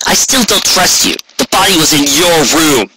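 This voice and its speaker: A man's voice: on the high side, moderate pace, voice pushed up, lightly acted. A man voicing a brash, distrustful young female pegasus pony in a storybook reading, blunt and challenging, on a noisy recording. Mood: suspicious, confrontational. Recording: clearly noisy amateur recording with clicks.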